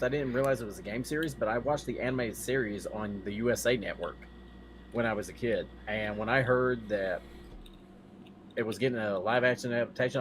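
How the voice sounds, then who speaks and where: A man's voice: mid-range voice, fairly bright tone, a little hiss or hum. A man talking casually as a podcast co-host, with a blunt way of putting things. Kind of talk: casual chat about movies.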